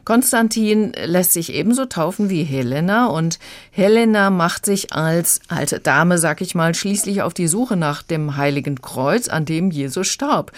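A woman's voice: lowish voice, lively intonation, measured pace, dry recording. A woman speaking as a radio critic in a conversational book review, close to a studio microphone. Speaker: audiobook critic on a radio show.

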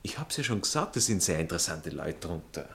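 An actor playing a prim, jealous aristocratic fiancé with an Austrian accent, drawling and indignant in a satirical stage comedy. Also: male voice, deep voice, very animated, brisk, gravelly, a bit bright, heavily acted. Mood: condescending. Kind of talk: stage comedy acting in a radio play manner.

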